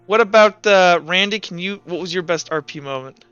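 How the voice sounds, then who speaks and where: High, bright-voiced male game master. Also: moderate pace, smooth. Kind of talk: casual tabletop game session chat. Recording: muffled audio with background music.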